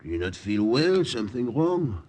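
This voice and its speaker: An actor playing a chatty, solicitous Parisian café owner with a French accent in a 1940s radio drama. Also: male voice, mid-range voice, very animated, normal speed, darkish tone, close to an everyday voice. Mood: friendly, solicitous. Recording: noisy 1940s radio recording.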